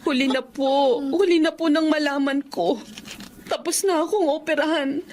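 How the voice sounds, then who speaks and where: A woman's voice: sits high, steady tone, normal speed, darkish tone, slight background noise, lightly acted. A woman playing a worried, pleading middle-aged wife and mother in a Filipino radio drama, with a Filipino accent.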